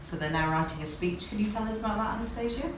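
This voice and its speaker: A woman from the UK, an English teacher, talking with visitors in her classroom in a friendly, brisk way. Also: mid-range voice, background noise. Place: classroom, indoors. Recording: echoey classroom, mic far off.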